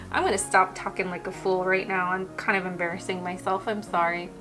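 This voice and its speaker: A woman hosts a houseplant care lesson, talking straight to her viewers in a chatty, unhurried way. Her voice is wearing out and is starting to go. She sits right in the middle of the usual female pitch range. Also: music in the background. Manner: chatty, relaxed, and explanatory, addressing viewers directly. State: losing her voice. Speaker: houseplant care channel host. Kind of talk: casual instructional talk.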